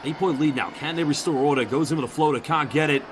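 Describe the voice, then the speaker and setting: A man's voice: a little high, fast. Chatty London play-by-play man calling basketball over a noisy arena feed. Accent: London English. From England. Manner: chatty, reads out viewer shout-outs between plays. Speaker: play-by-play commentator. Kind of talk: live basketball commentary.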